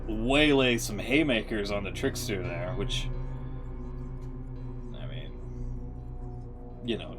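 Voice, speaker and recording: A man giving casual, informal live commentary as a game streamer, reacting as he goes. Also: fairly low pitch. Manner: informal, reactive, some swearing. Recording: prominent music behind voice.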